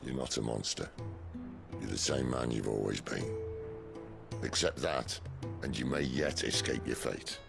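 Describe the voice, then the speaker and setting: An older actor with a Northern English accent playing a gruff, blunt, fatherly mentor in a cinematic game. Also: a bass voice, a little slow, full character voice. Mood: grave, fatherly. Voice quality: gravelly. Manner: blunt, colloquial. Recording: music behind the voice. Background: music.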